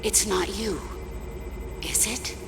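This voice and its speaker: A woman's voice: mid-range voice, takes it slowly. A woman playing a hesitant, searching figure with tentative questioning in a video game cutscene. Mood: searching, uncertain. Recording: echoey hall, loud background noise.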